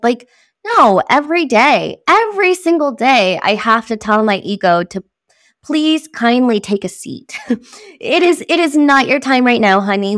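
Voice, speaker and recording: A woman whose first language is Spanish talks earnestly about love, practice and grace as a podcast guest. Her voice sits at a middle pitch. The sound is close and dry with no room around it. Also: a bit bright, no echo. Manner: earnest, reflective, speaks of love and spirit. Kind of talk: spiritual podcast conversation.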